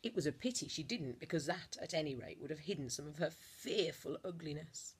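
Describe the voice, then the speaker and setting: A woman reading a children's storybook aloud like a teacher, expressively, with theatrical emphasis and deliberate dramatic pauses. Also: low voice, lively intonation, breathy edge.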